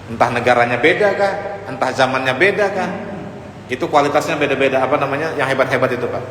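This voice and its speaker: A man's voice: mid-range voice, fast, fairly bright tone. A man preaching an informal Islamic lecture in a conversational way, addressing his listeners directly and mixing in Arabic phrases. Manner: sprinkles in Arabic phrases and quotations. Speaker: Islamic preacher teaching a congregation. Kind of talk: informal religious lecture on the Prophet's biography. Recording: echoey hall with faint background noise.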